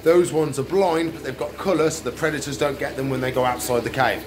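A man's voice: medium pitch, fairly even intonation, fairly quick, noisy background. A man in his thirties chatting casually and informally as he explains what he's doing in an unscripted vlog. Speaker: pet shop vlogger. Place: indoor aquarium shop.

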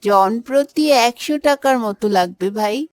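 A woman's voice: fairly high pitch, a little slow, a little mellow, natural voice. A woman voicing an eager schoolboy in a children's moral cartoon. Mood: eager, friendly. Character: eager schoolboy organising a picnic with friends.

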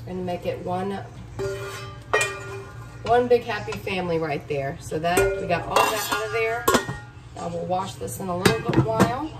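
A woman's voice: medium pitch, moderate pace, long pauses, loud music behind the voice. A woman, chatting viewers through a cooking tutorial step by step. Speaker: cooking vlogger. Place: hotel room. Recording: distant mic with clear room noise.

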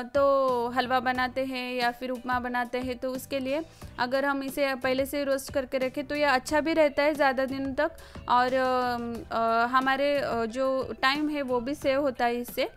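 High-pitched woman narrating with a lilt. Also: a bit bright. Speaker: home cooking vlogger. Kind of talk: casual recipe voice-over narration. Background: background music.

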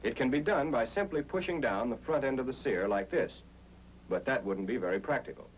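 A man's voice: medium pitch, animated, a little fast, slight background noise, poor audio. An American man reading a scripted 1940s instructional film narration in an emphatic, explanatory way, on an old film soundtrack.

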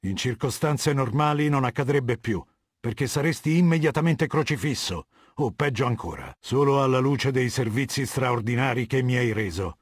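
A middle-aged warlord for a video game, imperious and ruthless. His male voice sits at a medium pitch and is measured, commanding and full of scorn. The sound is very dry, with no room around it. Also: lively intonation, slightly rough, dry recording. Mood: cold contempt. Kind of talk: dramatic game dubbing, scripted dialogue.

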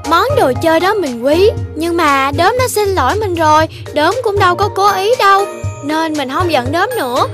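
Sweet, very high little rabbit. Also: female voice, normal speed. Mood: forgiving, friendly. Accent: southern Vietnamese. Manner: childlike, expressive. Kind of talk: children's cartoon dialogue. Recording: music behind the voice.

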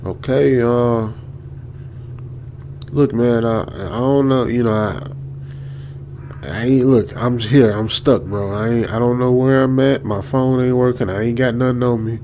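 A man's voice: medium pitch, measured pace, dark tone, slight background noise, close to an everyday voice. A man playing a frightened, stranded young American trucker in a radio drama, nervous and repetitive, often muttering or whispering. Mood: anxious pleading. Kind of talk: old-school radio drama with ASMR whispering. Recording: music behind the voice.

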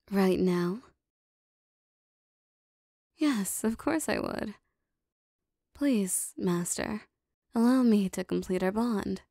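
Woman acting as a slow, creaky-voiced devoted demoness. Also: mid-range voice, no room sound, clean studio sound. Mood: flustered eager. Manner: soft, breathy, formal archaic phrasing, lingering pauses. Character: lovestruck possessive female demon bound to a sorcerer. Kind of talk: intimate roleplay ASMR audio drama.

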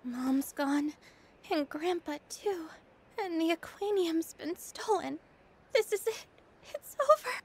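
An actress playing an earnest, determined young princess in a video game. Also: female voice, extremely high pitch, expressive, takes it slowly, exaggerated voice. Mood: despairing. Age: young adult. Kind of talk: dramatic video game acting.

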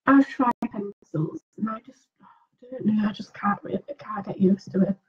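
A woman with a mid-range voice talks casually through her makeup, sniffly from a cold. She chats to her viewers with questions and drops into soft murmured asides while she concentrates. The audio sounds a bit dull and lo-fi. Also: mid-range voice, normal speed, faint music behind. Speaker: beauty vlogger.

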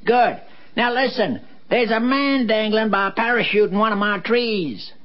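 A man's voice: high voice, normal speed, close to an everyday voice. A man playing a folksy, rambling old country fellow in an old-time radio drama. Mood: agitated. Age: older. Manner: folksy, rambling, muttering to himself. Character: folksy old country man, grumbling but kindly dog owner. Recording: dull, noisy old radio recording.

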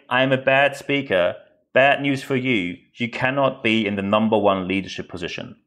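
A man's voice: medium pitch, a bit bright. German-accented male podcast host, emphatic delivery. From northern Germany. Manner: emphatic, rhetorical questions and repetition. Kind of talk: unscripted solo podcast commentary.

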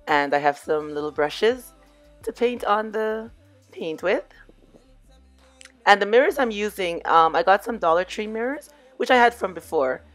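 A middle-aged woman with a hoarse voice, chatting casually as she walks viewers through a do-it-yourself crafts project. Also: mid-range voice, measured pace, no echo, soft background music. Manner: relaxed, chatty, addresses viewers as "you guys". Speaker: DIY crafts vlogger. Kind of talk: casual crafting tutorial voice-over.

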